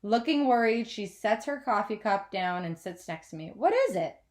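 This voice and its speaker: A woman's voice: mid-range voice, animated, normal speed. Woman teacher reading a storybook aloud. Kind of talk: scripted storybook narration.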